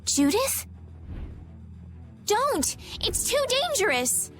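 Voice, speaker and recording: A woman playing an earnest, gentle young princess, anxious but determined, in an anime video game. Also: very high voice, very animated, drawn-out words, faint music behind, exaggerated voice. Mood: alarmed.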